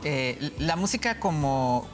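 A man's voice: a little high, lively intonation, measured pace, soft background music. A man with a slight Mexican-sounding accent, answering interview questions earnestly while often repeating words as he thinks. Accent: slightly Mexican-sounding Spanish. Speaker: guest, music company executive.

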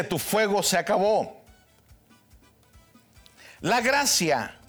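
A man, preaching a fervent televised sermon that swings from soft to loud and emphatic. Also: high voice. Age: 60s. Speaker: pastor.

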